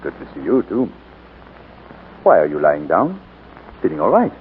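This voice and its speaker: An actor playing a suave, teasing gentleman in a 1940s romantic radio drama. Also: extremely low pitch, huge pitch swings, normal speed, heavily acted. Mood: playful, courteous. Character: suave, teasing gentleman visiting an old love. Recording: scratchy, tinny 1940s radio broadcast.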